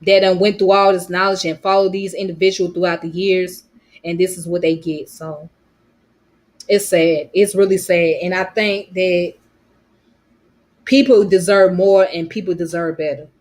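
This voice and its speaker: A woman's voice: lowish voice, fairly even intonation, moderate pace, darkish tone. A woman from Georgia with a strong Georgia Southern accent, talking loosely to camera in a casual vlog, her voice a little worn, full of "you know what I'm saying" fillers. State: voice slightly worn. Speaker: vlogger. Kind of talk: casual rambling monologue.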